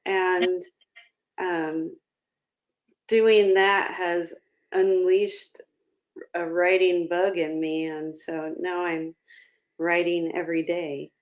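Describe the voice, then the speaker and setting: A woman's voice: lowish voice, level tone, extremely slow, long pauses. A woman talking casually and reflectively as an interview guest, with an encouraging manner. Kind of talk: casual, reflective personal talk. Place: remote video call. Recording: dull lo-fi video-call audio.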